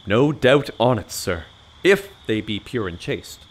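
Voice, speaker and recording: A man plays a sham sage in a theatrical farce. His mid-pitched voice rises and falls dramatically as he declaims in lofty period English, commanding and grandiose. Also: very animated, measured pace. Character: smooth-talking male con man posing as grand learned mystic.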